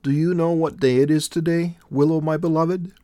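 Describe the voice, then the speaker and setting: A calm, gentle divine figure with a measured, tender delivery, fairly high for a man, as a male narrator voices him. The sound is dry and close-miked. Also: no echo, natural voice.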